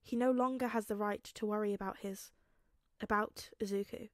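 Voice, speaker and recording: A woman reading fiction aloud as narrator, expressive and dramatic, with emphatic pauses. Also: mid-range voice, no echo, clean studio sound. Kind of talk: expressive scripted story narration.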